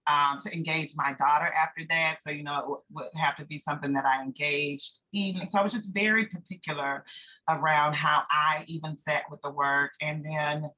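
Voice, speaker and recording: An American woman from North Carolina, a theatre director, answering interview questions reflectively and haltingly as she searches for words. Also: sits low, fairly even intonation, pushed and bright, poor audio.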